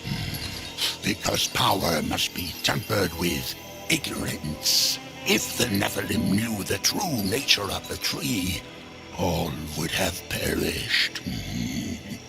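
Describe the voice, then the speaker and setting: A man's voice: sits low, sing-song, slow pace, creaky, over music, exaggerated voice. A man acting as a sly, world-weary otherworldly merchant in a video game cutscene, theatrical, cryptic and falsely humble. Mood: cryptic, knowing.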